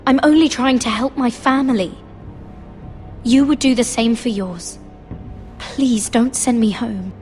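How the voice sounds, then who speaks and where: Earnest, higher-voiced young handmaiden, an actress's role. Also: female voice, a little slow, long pauses, lightly acted. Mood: anxious, pleading. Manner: pleading, polite. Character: earnest, anxious young handmaiden, deferential but persistent. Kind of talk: video game drama acting. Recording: soft music behind.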